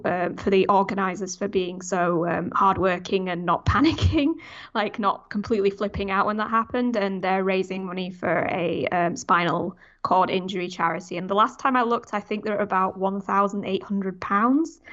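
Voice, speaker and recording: A woman's voice: mid-range voice, a bit bright, highs cut off. A young woman chatting casually and informally on a gaming podcast, rambling as she goes. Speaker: podcast panelist.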